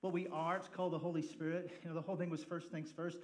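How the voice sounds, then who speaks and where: A man's voice: a little high, little pitch movement, quick pace. A man, a pastor, opening a church service with jokes, then speaking in a chatty, casual way. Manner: joking, chatty, loud laughing asides. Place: church sanctuary.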